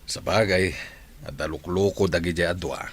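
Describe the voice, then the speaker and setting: Casting: actor as fretful village farmer, lowish voice, Ilocano accent, regretful, radio drama. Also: male voice, moderate pace, husky. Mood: wry. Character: worried, regretful male farmer fretting over his land.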